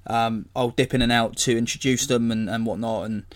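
A hoarse man, hosting a casual solo podcast and rambling as he corrects himself. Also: mid-range voice, steady tone, brisk. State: hoarse from illness. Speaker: podcast host.

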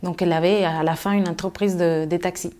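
A woman's voice: lowish voice, steady tone, fairly quick, warm tone. Hesitant woman from Mexico. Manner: thoughtful, many fillers and restarts. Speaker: guest. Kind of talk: interview answers.

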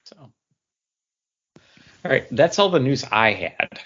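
A man's voice: medium pitch, big pitch swings, extremely slow, fairly bright tone, a little hiss or hum. A man co-hosting a podcast in a casual, conversational style. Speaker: podcast co-host. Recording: dull, lo-fi podcast audio.

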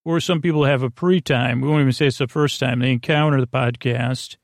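Creaky, rambling American man. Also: medium pitch, fairly even intonation, a little fast, no echo, clean studio sound. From United States. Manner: meandering, hesitant, repeats and trails into tangents. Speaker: sleep podcast host. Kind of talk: rambling, soothing bedtime podcast monologue.

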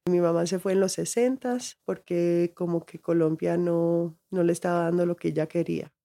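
Middle-aged woman, low voice, mellow tone, reflective, restarts sentences. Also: steady tone, normal speed. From United States. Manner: reflective, frequent restarts and laughs. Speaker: guest. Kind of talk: personal storytelling in an interview.

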